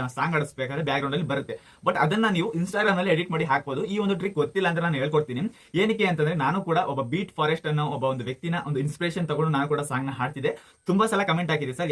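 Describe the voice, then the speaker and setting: A man's voice: a little high, a bit bright. A man hosting a casual how-to video, addressing viewers directly and often checking that they follow. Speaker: video tutorial host.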